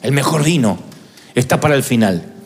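A man in his fifties preaching a fervent sermon as pastor, building up to shouts. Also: mid-range voice, expressive, moderate pace. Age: 50s. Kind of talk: impassioned sermon.

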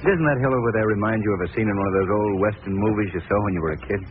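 A man's voice: lowish voice, rapid-fire, lightly acted. An actor playing a genial, wealthy young man about town in a 1940s radio drama. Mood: cheerful, bantering. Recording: tinny, noisy old radio recording.

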